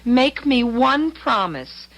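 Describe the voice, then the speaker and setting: A woman's voice: a little high, very animated, takes it slowly, vocal fry, a bit bright, heavily acted, rough recording. A young American woman acting in an old-time radio drama as a worried, protective daughter, earnest and pleading. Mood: anxious, pleading. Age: young adult.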